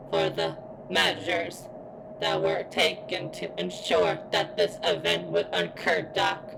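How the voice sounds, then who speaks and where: Woman voicing a creaky, pained robot girl. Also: fairly low pitch, background noise, exaggerated voice. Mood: intimate, pained. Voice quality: strained, as if in pain. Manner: formal, halting, deliberate robotic delivery. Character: pained female robot security bot craving freedom and sentience. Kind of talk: ASMR roleplay.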